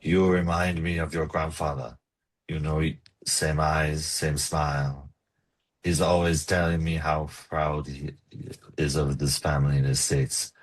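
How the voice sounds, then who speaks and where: An actor playing a gentle, coaxing farm suitor, tender and a little forward, in a romcom table read. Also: male voice, extremely low pitch, level tone, measured pace, slightly rough, full character voice.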